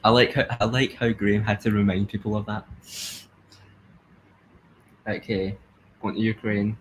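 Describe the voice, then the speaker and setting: A young man with a Glasgow-area Scottish accent, chatting excitedly and laughing as he comments on a live stream. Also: fairly low pitch, normal speed, a little hiss or hum, rough recording. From Scotland.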